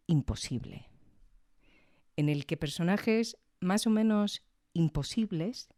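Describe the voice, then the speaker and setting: Mellow, low-voiced woman podcaster. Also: no echo, clean studio sound. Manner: reflective, tag questions. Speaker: podcast host. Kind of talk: scripted commentary, conversational.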